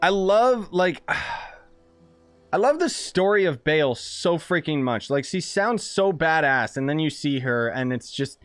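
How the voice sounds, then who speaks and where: Excitable young man streaming games. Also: on the high side, takes it slowly, dry recording, clean studio sound. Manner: excitable, slangy, playful. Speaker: game streamer. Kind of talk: casual live gameplay commentary. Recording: game music behind the voice. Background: game music.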